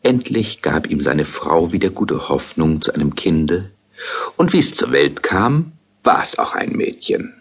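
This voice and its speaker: A husky-voiced man narrating a fairy tale in a measured, storytelling manner. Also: low voice, big pitch swings.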